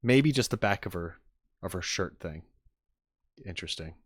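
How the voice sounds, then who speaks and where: A man's voice: deep voice, animated, moderate pace. A man casually chatting and reacting on a livestream, with easygoing asides muttered to his viewers. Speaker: streamer reacting to game videos.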